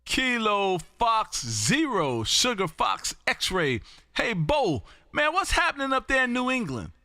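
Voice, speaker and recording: A loud, exuberant man chatting as an amateur radio livestream host, emphatic and prone to repeating phrases. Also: extremely high pitch, huge pitch swings, slow pace, a little hiss or hum. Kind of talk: ham radio contact chat. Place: indoor home radio room.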